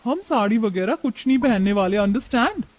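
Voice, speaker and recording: Very high brazen young daughter-in-law acted by a man. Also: expressive, brisk, no room sound. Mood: defiant. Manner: defiant, theatrical.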